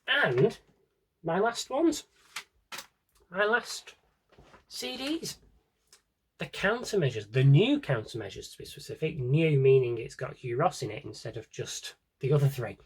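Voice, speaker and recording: A man's voice: on the high side, big pitch swings, unhurried, long pauses, slight background noise. A young British man chatting casually through a show-and-tell, with dry, self-deprecating humour and rambling asides. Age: young adult. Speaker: video creator.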